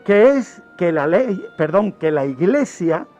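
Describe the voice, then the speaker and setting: A man's voice: high-pitched, very animated, a little slow, darkish tone. A man presents a true-crime radio segment, explaining emphatically and repeating phrases for effect. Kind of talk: storytelling explanation in a radio talk. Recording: dull lo-fi radio audio, music underneath. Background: background music.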